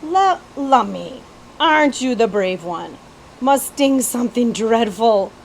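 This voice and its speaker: A plucky country girl from a children's storybook, acted out by a woman. She is high-pitched, folksy and tender-hearted. Faint noise sits behind the voice. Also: lively intonation, takes it slowly, creaky, exaggerated voice. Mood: coaxing.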